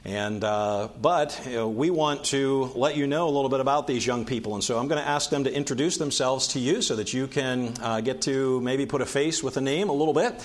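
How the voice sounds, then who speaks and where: A man leading a church service as a camp director, speaking in an earnest, measured, pastoral way. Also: medium pitch. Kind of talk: church announcement and short devotional.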